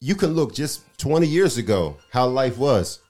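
A man with a deep voice talking casually on a podcast, earnest and emphatic, repeating his points. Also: big pitch swings, normal speed, darkish tone. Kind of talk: casual chat.

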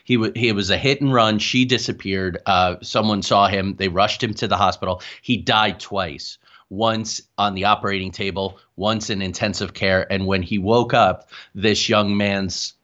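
A man's voice: fairly low pitch, fairly even intonation, moderate pace, fairly bright tone. A man speaking as an interview guest, telling his experiences in a conversational, explanatory way with asides. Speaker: guest, paranormal researcher and author. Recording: dull, lo-fi call audio.

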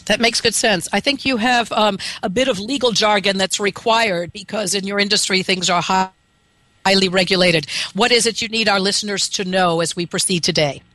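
A woman hosts a radio interview in an upbeat, brisk way. Her voice is a little scratchy, yet its tone stays bright and forward. The sound is dry and close to the mic. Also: medium pitch, no echo. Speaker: radio show host. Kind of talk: hosting an interview.

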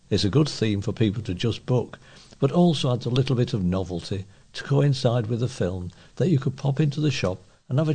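A man reads prepared local news items as a volunteer newsreader. His voice sits at an average pitch, with a soft, dark tone and a husky grain. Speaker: volunteer newsreader for a talking newspaper. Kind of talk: scripted local news reading.

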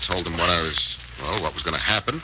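A man's voice: deep voice, quick pace, close to an everyday voice. An actor playing a confident amateur detective hero in a 1940s radio drama, assured and reassuring as he explains. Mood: calm, explaining. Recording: hissy muffled old radio broadcast.

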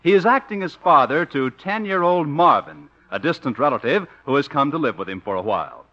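A man's voice: fairly high pitch, sing-song, a little fast. A man as a polished announcer narrating the scene for a 1950s radio comedy. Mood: upbeat, polished. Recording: dull old radio recording, music.

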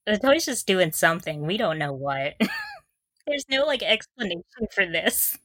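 A woman's voice: mid-range voice, wildly swooping pitch, a little slow, fairly bright tone, no echo, clean studio sound. A woman co-hosting a podcast, chatting casually and enthusiastically as a fan, with a giggly manner. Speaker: podcast co-host.

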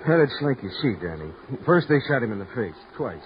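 A man's voice: mid-range voice, big pitch swings, fairly quick, natural voice. An actor playing a brash, wisecracking harbor policeman with a theatrical, colloquial manner in an old radio crime drama. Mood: dry, matter-of-fact. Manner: blustery, mock-grandiose, colloquial. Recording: muffled, noisy old radio recording.

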